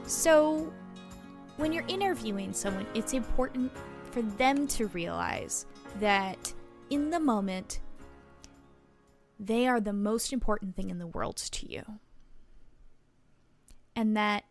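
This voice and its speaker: A woman's voice: mid-range voice, animated, takes it slowly, pauses often, faint music behind. A woman playing a chatty, helpful small-town reporter, friendly and explanatory, in a tabletop roleplaying game. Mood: earnest, instructive. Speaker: game master.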